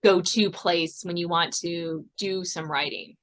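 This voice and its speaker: A woman's voice: lowish voice, steady tone, unhurried, poor audio. A woman hosting a podcast in a casual interview, chatty and given to laughing. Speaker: podcast host.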